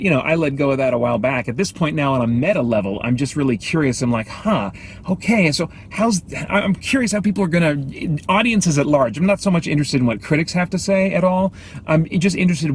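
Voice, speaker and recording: A man giving a casual, off-the-cuff film review, thinking aloud in a halting, measured way. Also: a little high, slight background noise. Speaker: movie review host.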